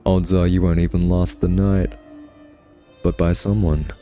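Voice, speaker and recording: A man's voice: deep voice, flat delivery, unhurried, darkish tone, faint music behind. A man playing a smug male vampire in an ASMR roleplay, taunting a captured hunter with a sardonic, teasing, conversational drawl.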